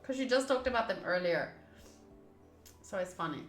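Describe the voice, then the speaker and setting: A woman's voice: medium pitch, animated, moderate pace. A woman game streamer reading a visual novel aloud and adding casual commentary as she plays. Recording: roomy echo, faint game music. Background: faint game music.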